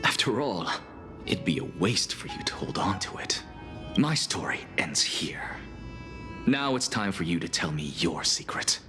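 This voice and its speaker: A man's voice: sits low, big pitch swings, measured pace. A man playing a proud, defiant young scholar in a video game, speaking in a formal, theatrical way. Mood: smug, challenging. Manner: theatrical, formal, pointed questioning. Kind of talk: dramatic game voice acting. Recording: echoey long reverb, music underneath.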